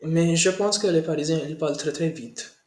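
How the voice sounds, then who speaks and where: A man's voice: fairly high pitch, a little mellow. Hesitant man learner in an echoey room. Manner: halting learner French, frequent hesitations. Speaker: language student. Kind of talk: casual conversation practice. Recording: roomy video call audio.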